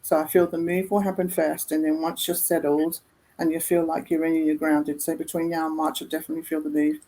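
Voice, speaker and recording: Woman, psychic reader, low voice, chatty, repeats herself. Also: little pitch movement, quick pace, dark tone, rough recording. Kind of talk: psychic reading.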